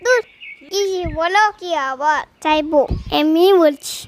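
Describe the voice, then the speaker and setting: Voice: sits high, unhurried. A performer voicing a cheeky little granddaughter in a cartoon, coaxing and pleading. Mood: playful. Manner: coaxing, sing-song pleading. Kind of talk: cartoon voice acting.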